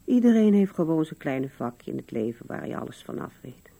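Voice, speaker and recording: An actress playing an old widow in a radio play, musing wistfully to herself and to absent loved ones. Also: female voice, deep voice, sing-song, fairly quick, creaky, mellow, slightly rough, heavily acted. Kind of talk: radio drama monologue.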